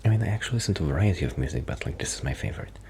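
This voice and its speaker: A man doing a soft-spoken, half-whispered ASMR roleplay as a shy, quiet musician friend, rambling hesitantly and interrupting himself. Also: deep voice, animated, very fast, a little hiss or hum. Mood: thoughtful, rambling.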